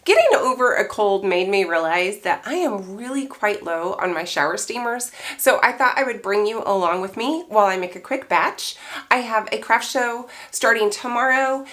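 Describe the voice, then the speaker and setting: A hoarse woman, middle-aged, chatting casually as she walks through a craft recipe at home, thinking aloud as she goes. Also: mid-range voice, moderate pace. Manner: chatty, relaxed, thinks aloud while working. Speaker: craft maker. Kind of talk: casual how-to chat.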